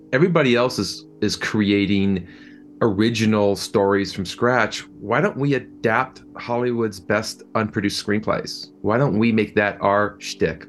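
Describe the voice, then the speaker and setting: A man answering interview questions as a producer, conversational and enthusiastic, often repeating words as he thinks. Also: on the low side. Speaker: film and audio drama producer being interviewed.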